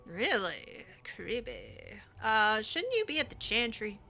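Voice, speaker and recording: A woman's voice: on the high side, sing-song, takes it slowly, vocal fry, sharp tone, soft background music. A woman playing through a role-play video game in character, musing aloud to herself in a hesitant way. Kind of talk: in-character game playthrough commentary.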